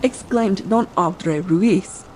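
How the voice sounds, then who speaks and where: A woman's voice: mid-range voice, slow, a little hiss or hum, rough recording. A woman narrator reading a scripted adventure audiobook meant for bedtime listening. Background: ambient sea sound bed.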